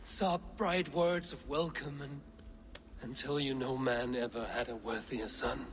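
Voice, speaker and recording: An actor playing a steady warrior leader who speaks gravely and shouts commands in battle. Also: male voice, medium pitch, measured pace, a little hiss or hum, natural voice. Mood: solemn.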